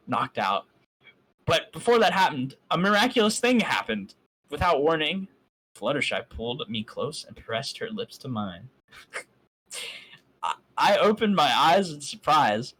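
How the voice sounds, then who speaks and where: A man's voice: mid-range voice, sharp tone, slight background noise, rough recording. A man reading a fan story aloud on a voice call and breaking off for sarcastic, mocking asides. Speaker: fan fiction reader.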